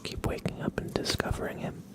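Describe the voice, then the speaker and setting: A man hosting an ASMR livestream, chatting casually in a hushed, breathy whisper with an unhurried, relaxed manner. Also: rough recording. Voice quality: breathy whisper with low creaky undertone.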